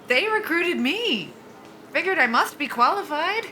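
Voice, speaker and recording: Wry young woman pilot, bright voice. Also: extremely high pitch, very animated, a little slow, a little hiss or hum, full character voice. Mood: guarded, dry. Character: wry, down-on-her-luck young female military pilot. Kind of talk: naturalistic audio drama acting.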